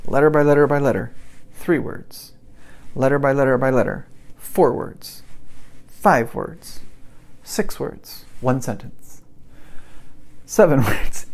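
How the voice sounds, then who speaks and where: Gravelly-voiced man telling a learning story. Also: medium pitch, darkish tone. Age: middle-aged. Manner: repetitive, emphatic cadence. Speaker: English teacher.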